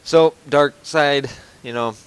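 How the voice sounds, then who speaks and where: A man's voice: a little high, slow pace, clean tone. A man hosting a casual podcast from home over a voice call, rambling with lots of fillers and restarts. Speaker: podcast host.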